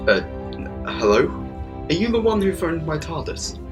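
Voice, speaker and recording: Man acting an animated, quirky time-traveller, music behind. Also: on the high side, a little slow. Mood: surprised. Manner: theatrical, hesitant stammers, abrupt emphatic bursts. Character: the Doctor, quirky alien time-travelling adventurer, male. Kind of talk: audio drama acting.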